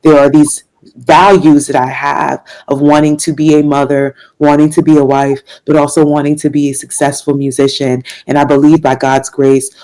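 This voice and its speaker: A woman's voice: low voice, flat delivery, normal speed, darkish tone, poor audio. A young woman from Washington, D.C., a jazz singer answering interview questions in an earnest, faith-filled way, with fillers and laughs. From Washington, D.C., United States. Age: young adult.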